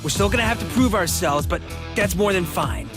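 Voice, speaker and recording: Upbeat racing buddy in a game cutscene, high voice, casual n enthusiastic, loud music. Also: male voice, animated, a little fast. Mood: excited, encouraging. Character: eager, upbeat male best buddy of a rookie racer. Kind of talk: video game cutscene acting.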